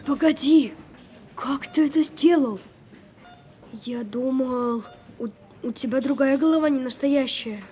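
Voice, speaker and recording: A woman voicing a skeptical, sharp-tongued sick young boy in an audio drama, precocious and needling. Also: high-pitched, unhurried, long pauses. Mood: astonished.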